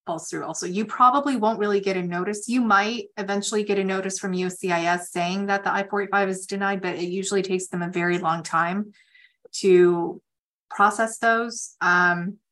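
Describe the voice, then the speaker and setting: A woman attorney hosting a conference call, explaining legal points in measured, pausing phrases. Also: medium pitch, steady tone, a bit bright.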